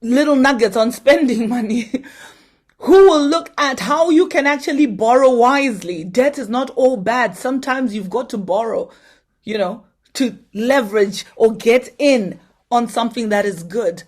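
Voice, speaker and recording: Woman, slightly high voice; earnest motivational speaker urging a conference crowd to act. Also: measured pace. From Zimbabwe. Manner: earnest, exhorting, asks the audience direct questions.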